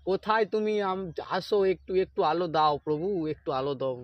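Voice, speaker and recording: A man rants in a high-pitched voice at a quick clip. He scolds and complains like an exasperated comedian, peppering the monologue with rhetorical questions. The sound is dry and close. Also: lively intonation, dry recording, dull audio. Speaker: comedy video creator. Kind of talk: comic ranting monologue addressed to the sun and seasons.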